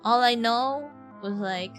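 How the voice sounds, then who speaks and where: A woman's voice: a little high, slow pace, a bit bright. A young woman from Ireland chatting casually as she streams a game, informal and slangy, often self-deprecating. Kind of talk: casual live stream chat. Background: game music.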